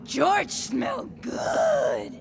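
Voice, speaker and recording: A woman voicing a hungry, blunt, simple-minded cartoon jungle animal with a creaky voice and broken grammar. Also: high-pitched, huge pitch swings, drawn-out words, shouting, very noisy, full character voice. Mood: hungry, deadpan.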